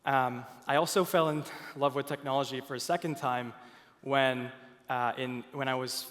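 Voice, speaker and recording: A man's voice: medium pitch, fairly even intonation, moderate pace, fairly bright tone. A young man from Finland giving an earnest conference stage presentation, with frequent fillers and restarts. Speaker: product speaker at a conference. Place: large conference hall. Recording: long hall reverb.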